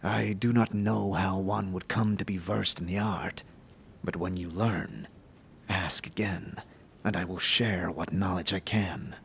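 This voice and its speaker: A man's voice: low voice, steady tone, creaky, fairly bright tone, a little hiss or hum, full character voice. A man playing a stoic, wise warrior-mage who speaks formally and cryptically in a fantasy audio drama. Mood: solemn, calm. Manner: slow, measured, formal, aphoristic.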